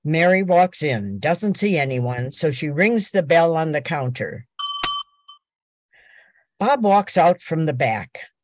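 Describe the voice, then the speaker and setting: A woman's voice: low-pitched, very animated, slow pace, long pauses. An older woman reading scripted narration as the announcer of a radio drama.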